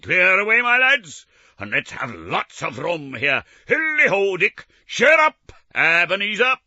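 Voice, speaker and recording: A man's voice: high-pitched, huge pitch swings, measured pace, sharp tone, dry recording, muffled recording, pitched above the performer's own voice, heavily acted. A man reading an audiobook, voicing a jolly fat old merchant with a rich, oily, jovial voice who calls out heartily.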